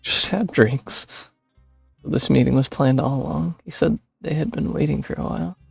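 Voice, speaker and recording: A man with a gravelly, husky voice giving casual game commentary and reading aloud as a streamer. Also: medium pitch, normal speed, dry recording. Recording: dry close mic, game music behind.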